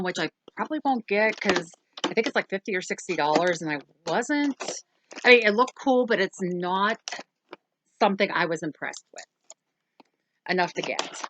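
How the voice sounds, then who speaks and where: A woman's voice: medium pitch, moderate pace, noisy background. A woman hosting a casual doll review, rambling along with lots of ums and self-corrections. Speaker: toy review channel host.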